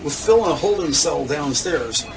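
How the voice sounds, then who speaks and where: A male narrator delivers scripted dramatic narration for an audio drama. His voice sits on the high side for a man, with music clearly playing underneath. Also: animated, normal speed, far from the mic, poor audio. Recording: music bed behind.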